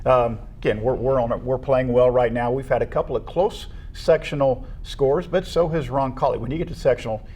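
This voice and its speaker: A man's voice: medium pitch, brisk, few pauses, a little mellow. An American man co-hosting a school sports show, talking through results in a conversational, matter-of-fact, analytical way. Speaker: co-host of a school athletics show.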